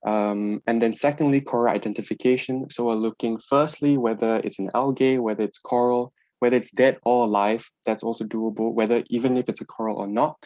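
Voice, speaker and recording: A man giving an informal, explanatory presentation on a video call, somewhat halting as he goes. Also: medium pitch, fairly even intonation, darkish tone, no echo, lo-fi sound. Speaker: organization presenter.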